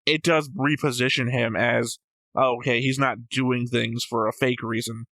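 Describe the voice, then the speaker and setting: A man's voice: mid-range voice, steady tone, normal speed, a bit bright, no echo. A man talking casually as a reaction show host, opinionated and analytical, with a blunt, flippant way of speaking.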